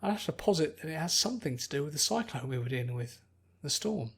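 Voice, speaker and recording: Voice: medium pitch, expressive, quick pace, creaky, husky. A narrator voicing an anxious, bookish young woman who speaks carefully and earnestly in an acted audiobook. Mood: thoughtful. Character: anxious, bookish pony princess turned human young woman.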